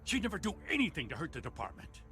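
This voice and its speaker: An actor playing a serious, loyal police lieutenant in a game, troubled and earnest. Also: male voice, fairly high pitch, huge pitch swings, quick pace, sharp tone, heavily acted. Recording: music playing behind the voice.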